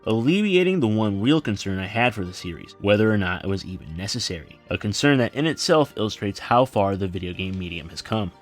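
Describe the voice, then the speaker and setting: A man narrating a scripted film video essay as its host, with wry, sardonic asides. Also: lowish voice. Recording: music behind. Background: background music.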